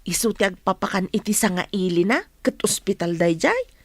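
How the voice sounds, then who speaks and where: A woman's voice: mid-range voice, lively intonation, a little fast, dry recording. A woman playing a chatty, flustered household cook who grumbles politely, in an Ilocano comedy radio drama, with an Ilocano accent. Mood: chatty, fussy.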